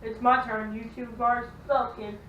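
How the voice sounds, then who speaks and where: A woman's voice: a little high, flat delivery, normal speed. A young woman chatting casually as she hosts a home vlog. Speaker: vlog host. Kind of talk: casual chat. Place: echoey room at home. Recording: echoey room, distant muffled mic, faint noise.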